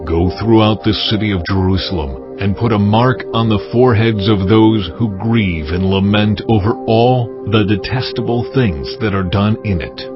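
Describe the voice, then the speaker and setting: A man voicing God in a dramatized scripture reading, slow and solemn with stern pronouncements. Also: on the low side, natural voice. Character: stern, commanding voice of God, ancient male deity. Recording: dull lo-fi audio with music bed. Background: music.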